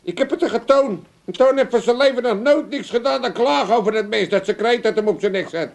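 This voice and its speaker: A man's voice: very high voice, fairly quick, creaky. An actor playing a cantankerous, bossy old Amsterdam father in a comedy radio play, grumbling and impatient. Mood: gruff, dismissive. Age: older. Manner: grumbling, impatient, working-class wisecracking. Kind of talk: comedic radio drama acting.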